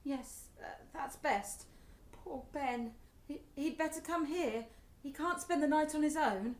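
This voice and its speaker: Woman acting high-voiced distraught British mother, echoey. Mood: shocked, numb. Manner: emotional, halting. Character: distraught middle-aged mother, grief-stricken and bitter. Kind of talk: radio play acting. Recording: echoey room.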